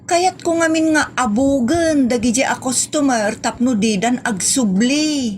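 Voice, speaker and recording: A woman plays a very high-pitched, rude and scheming young waitress in an Ilocano comedy drama. She is sassy and brash, with an Ilocano accent and a mocking way of answering back. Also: unhurried, darkish tone, a little hiss or hum. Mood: defiant.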